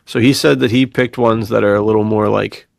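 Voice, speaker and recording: A man's voice: on the low side, fairly even intonation, a little fast, creaky, dry recording, studio quality. A man hosting a podcast in a casual, laid-back, bro-ish way, using plenty of slang. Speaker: podcast host.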